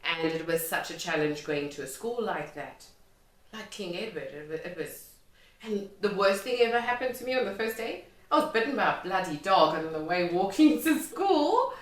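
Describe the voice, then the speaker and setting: A woman's voice: medium pitch, lively intonation, moderate pace. Echoey woman. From Lesotho and South Africa. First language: Sotho. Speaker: interview guest, music and poetry artist. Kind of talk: conversational life-story interview. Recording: echoey room, distant microphone.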